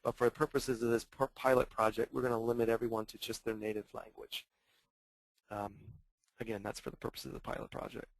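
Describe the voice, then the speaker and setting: A man's voice: medium pitch, level tone, fast, no room sound, low-quality recording. A man in his fifties hosting a webinar question-and-answer session, speaking hesitantly with many ums and restarts. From born into a German family, raised in the US. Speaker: webinar host running a coaching pilot.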